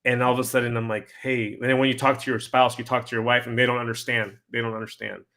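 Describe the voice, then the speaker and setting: Bright-voiced man, halting talker. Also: mid-range voice, level tone. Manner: halting, repeating himself. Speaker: interview host. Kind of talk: casual chat.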